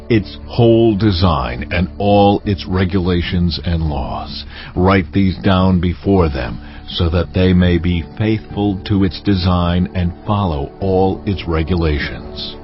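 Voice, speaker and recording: An actor playing God in a dramatized scripture reading, solemn, deliberate and commanding as he instructs his prophet. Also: low-pitched. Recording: dull sound over music bed.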